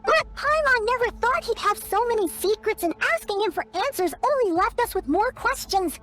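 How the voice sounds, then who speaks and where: Tiny game fairy sidekick, very high squeaky voice, chirpy, curious, outspoken, music behind. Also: female voice, lively intonation, moderate pace. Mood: chatty, curious. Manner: refers to self in third person, exclamatory. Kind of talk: scripted video game dialogue.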